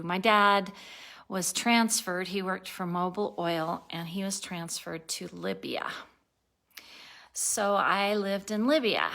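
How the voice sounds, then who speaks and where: Woman, 60s, unhurried video-diary chat, bright tone, worn out, self-correcting and giggly. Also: on the low side, vocal fry. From born in Turkey, raised abroad, lives in Colorado. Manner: rambling, self-correcting, laughs at herself. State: tired, a little spacey. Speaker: vlogger. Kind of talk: casual daily video diary.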